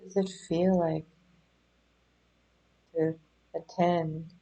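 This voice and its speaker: A woman leading a guided meditation in a gentle, soothing, unhurried manner, leaving pauses between phrases. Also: low voice, little pitch movement, vocal fry, highs cut off. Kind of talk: guided body scan meditation.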